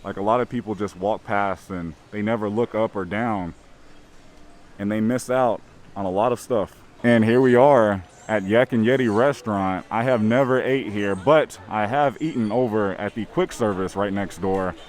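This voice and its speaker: Upbeat, slightly raspy man vlogging. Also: lowish voice, normal speed, darkish tone. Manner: enthusiastic, chatty. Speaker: theme park vlogger. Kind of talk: upbeat vlog narration. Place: outdoors at a theme park.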